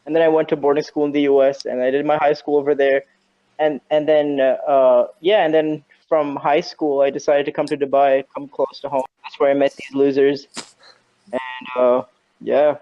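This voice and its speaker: A man's voice: medium pitch, flat delivery, moderate pace. A young man from Saudi Arabia chatting casually on a podcast, rambling and hesitant with lots of fillers and some dry teasing. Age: young adult. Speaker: podcast co-host. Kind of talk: casual chat. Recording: dull lo-fi sound.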